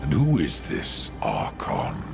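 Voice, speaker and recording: Grim fantasy game warrior: extremely low, sardonic rider, curt yet with wide swings in pitch. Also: male voice, wildly swooping pitch, slow pace, heavily acted. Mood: curt, dry. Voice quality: deep, gravelly. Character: grim male horseman warrior on a quest. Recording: loud music under the voice.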